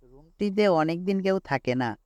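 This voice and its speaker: Man playing a higher-voiced cartoon hotel manager. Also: animated, moderate pace, darkish tone, no room sound. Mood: matter-of-fact. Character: matter-of-fact hotel manager in a ghost cartoon. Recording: faint noise with night insects.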